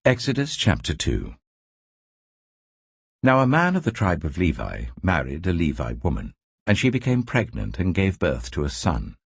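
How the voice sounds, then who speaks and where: A man reading scripture as a dramatic scripted narration, telling the story in a measured, expressive way. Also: deep voice, breathy edge, no echo. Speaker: Bible narrator.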